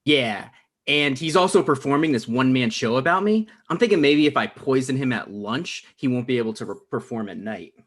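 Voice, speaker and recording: A man's voice: mid-range voice, animated, brisk, close to an everyday voice. A man playing a neurotic, self-absorbed playwright, conversational and rambling, in a comedy table read. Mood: scheming.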